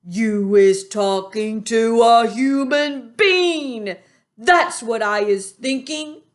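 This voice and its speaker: A woman voicing a booming brute of a male giant. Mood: booming menace. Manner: shouting, bullying, slow heavy delivery. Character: boisterous booming male giant, brutish and greedy. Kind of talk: storybook read-aloud.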